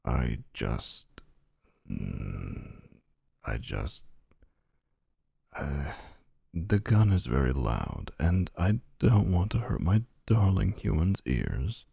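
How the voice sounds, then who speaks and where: A man playing a gravelly, smug vampire flirt. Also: extremely low pitch, wildly swooping pitch, extremely slow, long pauses, mellow, no room sound, clean studio sound, full character voice. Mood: flustered.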